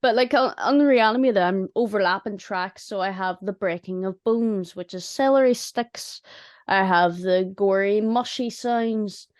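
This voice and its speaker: A woman chatting casually and humorously about making audio dramas, in a chatty, animated way. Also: medium pitch, a little slow, no echo, studio quality. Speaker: audio drama writer and voice actor. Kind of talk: casual chat.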